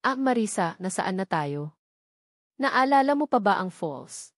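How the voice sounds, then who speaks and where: A woman's voice: mid-range voice, sing-song, measured pace, no room sound, clean studio sound. A woman with a Filipino accent voicing a headstrong, informal young lady of a wealthy house in an audiobook story. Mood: anxious.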